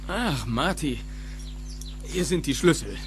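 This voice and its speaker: A man's voice: on the high side, very animated, slow pace, music in the background. An actor playing a servile, eager-to-please handyman in a film audio drama. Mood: obsequious.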